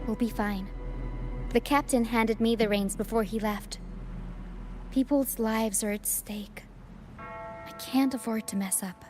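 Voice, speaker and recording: An actress playing a gentle, dutiful young female first mate in a video game, earnest and reassuring. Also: on the high side, steady tone, unhurried, long pauses, music in the background, close to an everyday voice. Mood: solemn resolve.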